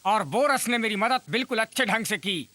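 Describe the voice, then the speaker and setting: Eager sure-of-himself cartoon sidekick man, high sharp voice. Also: expressive, normal speed, dry recording. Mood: matter-of-fact. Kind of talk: Hindi cartoon dub acting.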